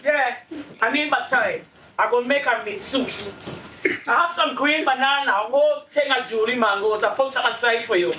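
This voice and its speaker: A woman playing a stubborn, sharp-tongued elderly Caribbean grandmother in a stage play, blunt and scolding. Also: fairly high pitch, normal speed. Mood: bossy, coaxing. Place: indoor stage, mic far from actors. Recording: roomy, distant stage recording with noise.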